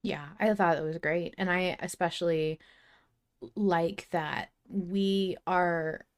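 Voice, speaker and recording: A woman's voice: lowish voice, fairly even intonation, slow pace, clean tone. A woman co-hosting a podcast, talking casually in discussion. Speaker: podcast host.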